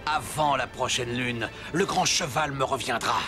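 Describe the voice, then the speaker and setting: A man's voice: medium pitch, measured pace, close to an everyday voice. A man voicing a stern, possessive, threatening horse hunter in a French cartoon dub. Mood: menacing. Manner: imperious, threatening. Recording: music behind the voice.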